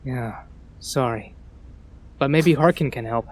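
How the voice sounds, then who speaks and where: A man voices a nervous, humble villager in a fantasy audio drama. His mid-range voice is plain-spoken and halting, as if unsure how much to say. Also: mid-range voice. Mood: hesitant, uneasy. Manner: hesitant, halting. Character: uneasy, plain-spoken male villager scavenging in ruins.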